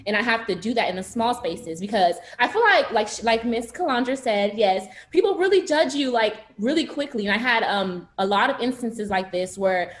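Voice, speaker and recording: Fast-talking young woman. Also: fairly high pitch, lively intonation, roomy sound. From southern United States. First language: Haitian Creole. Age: 20s. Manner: fast-talking, earnest, with many restarts. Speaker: panelist. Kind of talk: panel discussion remarks. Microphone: video call.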